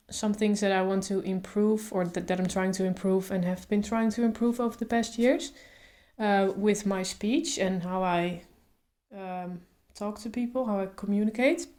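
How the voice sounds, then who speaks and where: A woman speaks calmly and thoughtfully, stuttering openly with repeated sounds and blocks. Her pitch stays flat and steady, with little rise or fall. She thinks aloud in a casual way. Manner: calm, reflective, thinks aloud with fillers. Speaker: vlogger. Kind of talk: casual talk to camera.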